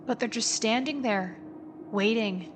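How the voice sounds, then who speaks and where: A woman's voice: fairly high pitch, measured pace, noisy background, natural voice. Woman acting a confident, urgent teenage girl. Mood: urgent, reassuring. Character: confident, level-headed teenage girl on the run. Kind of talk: dramatized audiobook acting.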